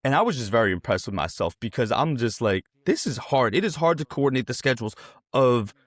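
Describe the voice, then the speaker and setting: A man's voice: mid-range voice, animated, brisk, no room sound. A man in his twenties hosting a casual sports interview, talking in a rambling, digressive way. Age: 20s.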